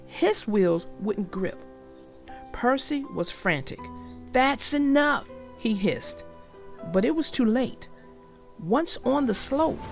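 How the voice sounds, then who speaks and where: Slow, gravelly-voiced woman storyteller. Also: a little high. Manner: gentle, reflective, explains morals. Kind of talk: children's read-aloud and lesson talk.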